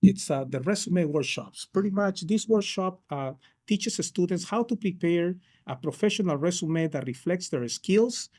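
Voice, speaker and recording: A man speaks in a somewhat high voice. He answers as a school counselor. His talk is hesitant and full of fillers and restarts. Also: expressive, normal speed, a little mellow. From Peru. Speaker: case manager counselor. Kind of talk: interview answers.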